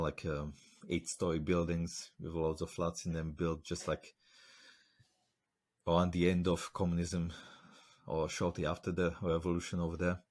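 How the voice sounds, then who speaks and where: Very deep-voiced man, rambling. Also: a little mellow. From Slovakia. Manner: rambling, storytelling with many fillers. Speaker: podcast host. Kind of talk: casual interview chat.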